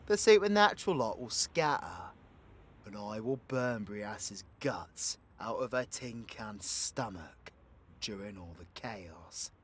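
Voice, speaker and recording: A man's voice: mid-range voice, a bit bright, full character voice. A British man playing a cocky, foul-mouthed but protective supervillain in an audio roleplay, with brash, teasing, slangy delivery. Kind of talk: audio roleplay acting addressed to the listener.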